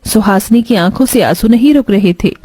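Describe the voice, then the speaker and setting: A woman's voice: medium pitch, fairly quick, dark tone, breathy edge, dry recording. A woman reading a scripted moral story as its narrator.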